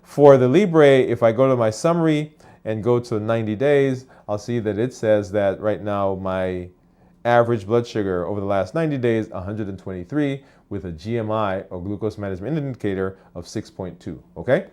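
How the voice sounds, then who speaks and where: A man's voice: on the low side, normal speed, dark tone, smooth. A man, a physician, hosting a casual live stream from his office, chatting with fillers and restarts while he answers viewer comments.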